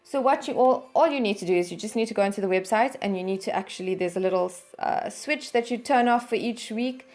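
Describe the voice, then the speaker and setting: A woman's voice: medium pitch, quick pace, few pauses. Woman host explaining a product review. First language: English. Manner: steady explanatory delivery with fillers. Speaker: channel host reviewing a service. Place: home in Norway.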